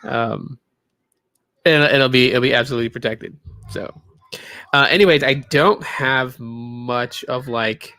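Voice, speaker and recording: A man in his late thirties with a gravelly, fried edge, chatting loosely and tiredly to his live stream viewers from a small room in his new home. The recording is dry, with almost no room sound. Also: medium pitch, a little slow, pushed and bright, no echo. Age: 30s. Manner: rambling, loose, lots of fillers. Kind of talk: casual live stream chat.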